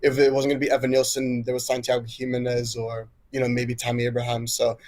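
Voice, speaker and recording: A man's voice: mid-range voice, completely flat delivery, quick pace, darkish tone. A man who grew up in Switzerland but is American, with a mixed international accent, calling in by video to chat eagerly about his football club. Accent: mixed American and Swiss international. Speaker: caller.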